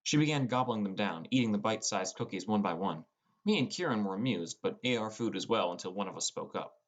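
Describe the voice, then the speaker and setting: A man's voice: on the low side, animated, fast talker. A man narrating a first-person fantasy story as a scripted audiobook.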